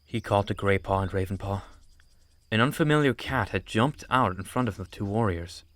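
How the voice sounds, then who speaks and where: A man's voice: lowish voice, fairly quick, a bit bright, dry recording. A man narrating an audiobook story from a script.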